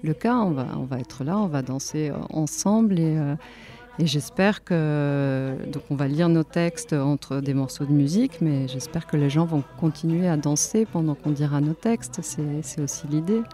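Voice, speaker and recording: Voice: medium pitch, normal speed, dark tone. A poet from a performance collective explaining their ideas earnestly, thinking aloud as they talk. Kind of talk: conversational interview answer.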